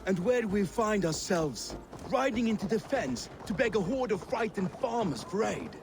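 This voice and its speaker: Animated, earnest warrior comrade. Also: male voice, high voice, normal speed, vocal fry, background noise. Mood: defensive. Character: earnest, hopeful male warrior comrade, wryly defensive.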